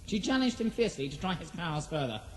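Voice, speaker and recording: A woman narrator reading a scripted fantasy drama in a solemn, measured storytelling manner. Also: fairly low pitch, sing-song, noisy background. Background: prominent music.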